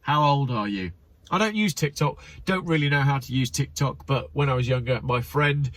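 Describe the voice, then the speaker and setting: A man's voice: fairly high pitch, fairly bright tone, no room sound. A man hosting his channel, reading memes aloud in casual commentary with wry, sarcastic asides and a slightly stuffy, nasal voice. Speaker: channel host.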